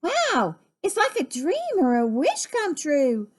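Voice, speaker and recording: A woman's voice: sits high, slow pace, a little mellow, voice pushed up. A woman voicing a fretful, affectionate mother toad in a storybook, full of emotional exclamations. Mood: wonderstruck.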